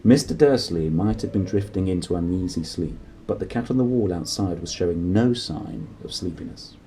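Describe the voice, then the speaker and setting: Guy reading a kids story, lowish mellow voice, really lively, some rumbly noise behind. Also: normal speed. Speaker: storybook reader. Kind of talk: expressive children's audiobook narration.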